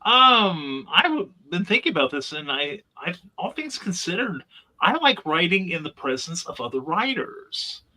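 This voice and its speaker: A man's voice: high voice, very animated, unhurried, sharp tone, low-quality recording. A man giving a reflective, explanatory answer as a guest on a discussion panel.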